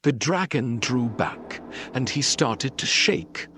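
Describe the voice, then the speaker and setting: A man reading a children's story aloud in an expressive, dramatic narrating style. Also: mid-range voice, slow pace, a little hiss or hum. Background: background music.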